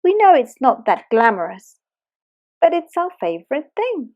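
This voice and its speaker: A woman's voice: sits high, sing-song, normal speed, narrow, tinny audio. A woman reading aloud, voicing a cheerful bunch of barnacles in a chirpy, sing-song way.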